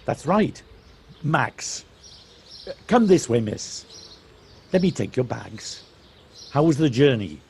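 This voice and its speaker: Slow, gravelly taxi man acted. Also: medium pitch, very animated, a little mellow, heavily acted. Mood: friendly. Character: polite, unhurried small-town taxi driver. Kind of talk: audio play acting. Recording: faintly noisy personal device recording.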